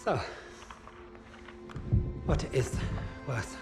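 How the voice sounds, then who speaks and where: An actor playing a sinister Nazi interrogator in a video game, mock-polite and menacing, with a German accent. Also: male voice, drawn-out words. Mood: quiet menace. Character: sinister, mocking male Nazi interrogator officer. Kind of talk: cinematic video game acting. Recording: loud dramatic music behind.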